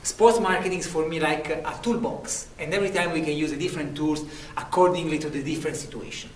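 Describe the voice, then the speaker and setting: A man with a thick Italian accent gives an earnest, self-promoting sample lecture, often restarting his phrases. His voice sits a bit high. The recording is roomy and echoey, picked up from some distance in a university room. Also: steady tone, quick pace, slight background noise. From Italy. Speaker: lecturer applicant.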